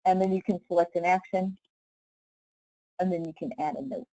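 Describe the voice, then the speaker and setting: A woman leading a casual live software tutorial as a trainer, explaining step by step in an informal way with frequent check-in questions. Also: fairly low pitch, flat delivery, normal speed, no echo, dull audio.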